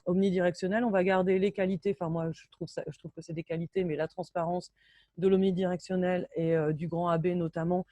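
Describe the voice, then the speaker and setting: A French woman in her thirties giving an informal technical talk in an online meeting, often halting and correcting herself. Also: lowish voice, flat delivery, warm tone, no echo. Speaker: sound engineer and lecturer. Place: indoors on a video call.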